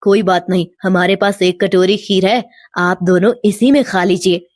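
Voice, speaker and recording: A woman voicing a humble, devout poor village father, gentle and respectful, in an animated moral tale. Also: mid-range voice, moderate pace, no room sound, studio quality, voice pushed down, lightly acted. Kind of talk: animated devotional storybook acting.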